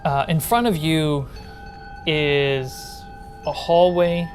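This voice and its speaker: A woman game master narrating a tabletop horror game in a descriptive, casual way with pauses. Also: low voice, steady tone. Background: music.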